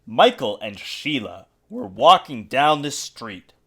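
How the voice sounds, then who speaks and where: A father reading a picture book aloud to a young child, with expressive, dramatic emphasis. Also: medium pitch, slow pace, vocal fry, husky. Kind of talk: animated children's storybook narration. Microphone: USB desktop mic.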